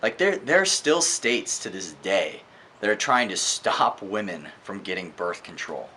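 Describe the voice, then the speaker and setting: A man giving a casual, opinionated book review monologue in an earnest, emphatic way, often halting and posing rhetorical questions. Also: low voice, big pitch swings, pushed and bright, a little hiss or hum.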